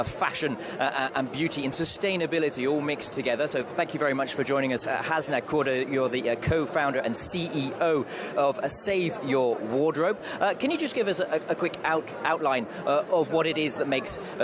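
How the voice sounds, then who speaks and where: Hesitant male event interviewer. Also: on the high side. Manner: hesitant, heavy on "uh" fillers. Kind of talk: on-site interview. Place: outdoor expo stand. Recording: clear street noise behind.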